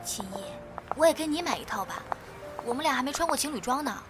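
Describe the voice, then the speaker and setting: A woman's voice: high voice, expressive, fast talker. A woman playing an ordinary, modest young lover, earnest and stubbornly devoted, in a modern Chinese audio drama over music. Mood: hesitant. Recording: music under the voice.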